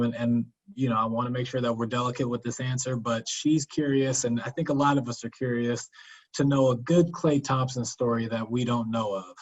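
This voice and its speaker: Coach guy hosting a zoom q&a, friendly, lots of ums, muffled lo-fi sound. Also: medium pitch. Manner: friendly, upbeat, hesitant "um" fillers. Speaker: camp coach, moderator. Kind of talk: hosting a virtual Q&A. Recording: dull lo-fi call audio.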